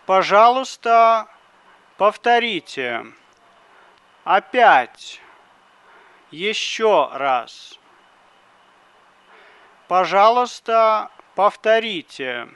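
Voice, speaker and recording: A man reads drill phrases for a language lesson, pronouncing each word with great care. He speaks very slowly. His voice is fairly high and smooth. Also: sing-song, fairly bright tone, no room sound. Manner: deliberate, carefully articulated phrases. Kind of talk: slow phrasebook drill reading.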